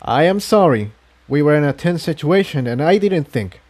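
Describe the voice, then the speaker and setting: A man's voice: a little high, expressive, normal speed, darkish tone, pitched above the performer's own voice. A man voicing an awkward, nervous female pony who is eager to please, in a storybook reading. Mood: stiff, apologetic. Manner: flustered, apologetic.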